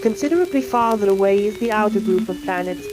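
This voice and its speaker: A woman's synthetic AI voice giving scripted educational science film narration in a measured, explanatory manner. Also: medium pitch, noisy background. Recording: music playing under the voice. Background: music.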